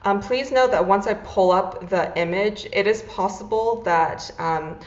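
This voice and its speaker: A woman's voice: medium pitch, a little slow. A woman moderating an online panel, upbeat and leaning on ums and uhs. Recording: roomy, dull lo-fi call audio.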